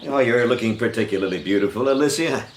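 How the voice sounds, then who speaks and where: A man's voice: medium pitch, fast talker, natural voice. An actor playing a jovial, teasing older father of a well-to-do house, in a radio drama with noise behind him.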